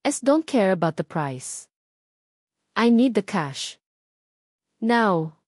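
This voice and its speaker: A woman's voice: medium pitch, big pitch swings, very slow, darkish tone, dry recording, studio quality, heavily acted. Woman voicing a commanding, determined businessman. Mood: firm, urgent. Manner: firm, authoritative, clipped orders, mixes Tagalog and English. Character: commanding, determined wealthy businessman in his prime. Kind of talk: dramatic audiobook character voice.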